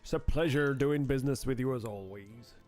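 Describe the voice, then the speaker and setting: A man's voice: mid-range voice, brisk, a little mellow, faint music behind, lightly acted. A man voicing a cheery, chatty tavern keeper who welcomes customers politely and repeats himself. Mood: cheery, welcoming.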